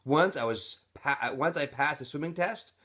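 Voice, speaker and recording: A man's voice: on the high side, moderate pace, pushed and bright, husky, voice pushed up. A man voicing an earnest, weary teenage camp newcomer in a storybook, hesitant and placating. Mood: putting on cheer.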